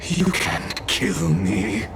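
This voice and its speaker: A man's voice: low-pitched, big pitch swings, extremely slow, heavily acted. An actor playing a coldly dismissive, unkillable male adversary in a dramatic video game. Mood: cold contempt. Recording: very loud noisy ambience behind the voice.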